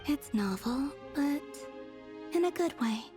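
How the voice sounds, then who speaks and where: A woman voicing a serene young moon goddess in a video game, soft and breathy, with unhurried, dreamy, formal phrasing. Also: sits high, animated, over music. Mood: wistful, gentle. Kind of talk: scripted video game dialogue.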